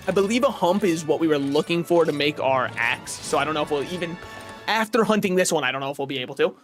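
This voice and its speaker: A young man streaming a game from his apartment, chatting casually in a rambling, self-mocking way with a booming voice. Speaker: vtuber streamer. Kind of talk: casual live-stream chat while gaming. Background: faint game music.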